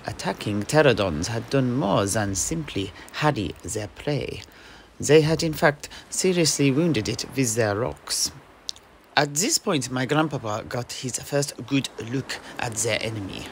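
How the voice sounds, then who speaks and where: A gravelly mercenary knight, acted by a man, recounts a fantasy sea tale. His delivery is theatrical but halting, pitched in an ordinary male range, with faint noise behind him. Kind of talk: in-character fantasy audio play narration.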